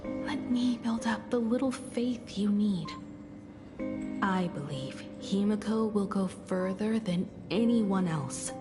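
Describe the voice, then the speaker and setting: A woman plays a steadfast young female game companion. She speaks slowly in a mid-pitched voice, firm, earnest and encouraging, with music playing underneath. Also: close to an everyday voice. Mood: firm, reassuring. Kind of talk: dramatic video game voice acting.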